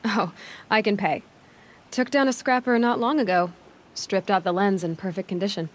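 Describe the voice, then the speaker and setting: An actress as wry, headstrong young huntress. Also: female voice, medium pitch, moderate pace, natural voice. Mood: teasing, confident. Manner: direct, questioning, dry sarcasm. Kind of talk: video game cutscene acting.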